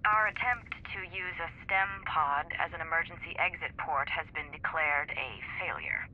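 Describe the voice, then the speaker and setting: Clinical lab-report voice, formal and measured, dull radio filter, faint noise, game cutscene. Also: mid-range voice, lively intonation. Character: detached, clinical research lab announcer. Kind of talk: scripted report in a video game.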